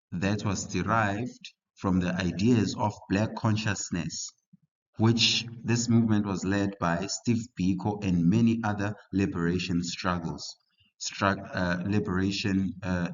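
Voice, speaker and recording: A young man with a very low voice walks learners through a history essay in a relaxed, halting way, full of fillers and restarts. The recording is muffled and lo-fi. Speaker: history tutor. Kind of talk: casual study lesson for learners.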